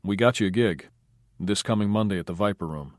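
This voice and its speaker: Man voicing cool, deadpan guy, low voice, dry close read. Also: brisk, no room sound, close to an everyday voice. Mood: laconic. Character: cool, dry-witted male film producer.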